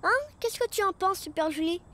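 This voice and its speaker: A woman voicing a small boy superhero in a cartoon, boastful one moment and sheepish the next, with quick exclamations. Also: extremely high pitch, a bit bright. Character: small boy cosmic superhero, proud and bashful. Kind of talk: cartoon dubbing. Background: cartoon music.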